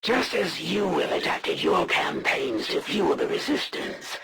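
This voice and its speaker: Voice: mid-range voice, huge pitch swings, unhurried, creaky, heavy background noise, full character voice. An actor voicing a proud, honor-bound alien assassin, a villain in a strategy video game, speaking in a formal, grandiose and solemn way with menace. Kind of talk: dramatic scripted game voice acting.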